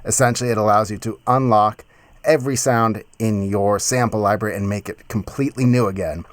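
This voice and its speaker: A man in his thirties giving a casual, enthusiastic music software tutorial in a conversational way, close on a mic in a home studio. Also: on the low side, steady tone, brisk, no echo. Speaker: music production tutorial host. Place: small home studio, indoors.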